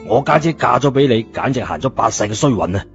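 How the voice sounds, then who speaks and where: A man voicing a rough, hot-headed, scornful uncle in an audio story, with dull sound. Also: mid-range voice, lively intonation, quick pace. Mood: cold contempt. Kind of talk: scripted audio story dialogue. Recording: dull lo-fi audio, music behind.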